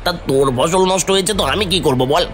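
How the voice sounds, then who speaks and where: A man's voice: a little high, quick pace, a bit bright, soft background music, lightly acted. A man voicing a stingy, brusque village shopkeeper in a cartoon fairy tale. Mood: irritated. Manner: brusque, complaining. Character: grumpy, stingy male village rice shopkeeper.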